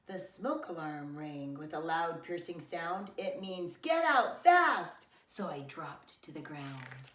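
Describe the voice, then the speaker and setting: Slow-paced woman reading a storybook, roomy echo. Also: on the low side, far from the mic. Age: middle-aged. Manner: slow, deliberate phrasing with dramatic emphasis for young children. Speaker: preschool teacher reading a picture book aloud. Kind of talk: expressive children's storybook read-aloud. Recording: roomy echo, away from the mic.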